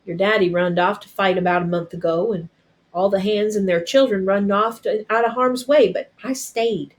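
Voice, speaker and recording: A woman's voice: mid-range voice, normal speed, natural voice. A woman reading a storybook as a loving, devout middle-aged mother with a tender, folksy way of talking. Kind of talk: storybook read-aloud character.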